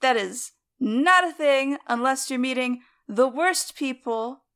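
A woman co-hosting a podcast, talking casually in a halting, conversational way with trailing asides. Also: high-pitched, animated, fairly bright tone. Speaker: podcast co-host. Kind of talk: casual discussion.

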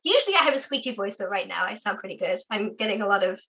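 A young adult guest from the UK, talking casually and chattily in an interview, with lots of "like" fillers and a sore voice. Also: female voice, mid-range voice, animated, very fast, fairly bright tone. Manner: chatty, frequent "like" fillers, laughs often.

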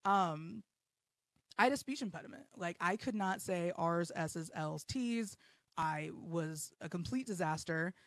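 A woman's voice: on the low side, unhurried, dry recording. A woman in her thirties hosting her own podcast live from her home basement, talking in a blunt, self-deprecating way and swearing freely. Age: 30s. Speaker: podcast host. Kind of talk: casual live podcast monologue.

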